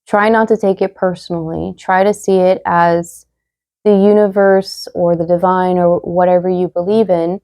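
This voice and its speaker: A young woman giving a casual tarot reading to viewers, chatty and full of fillers, slightly hoarse as she loses her voice. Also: on the low side, level tone, normal speed, mellow, no echo, clean studio sound. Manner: chatty, many fillers, reads card messages aloud. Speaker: tarot reader.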